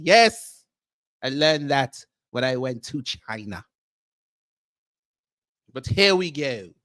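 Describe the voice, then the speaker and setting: A pompous, jet-setting self-styled prince with a put-on Nigerian accent, as a man acts him out for a livestream. He talks in a high, slow, deliberate way, formal and grandiose. The recording is close and dry. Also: a bit bright, dry recording, exaggerated voice. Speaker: livestream host. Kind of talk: comedic character livestream.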